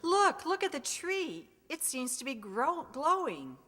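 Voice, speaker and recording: Radio sitcom wife actress, middle-aged and sensible, sharp bright tone, reverberant hall. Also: female voice, high voice, very animated, a little slow, heavily acted. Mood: astonished. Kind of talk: old-time radio sitcom acting.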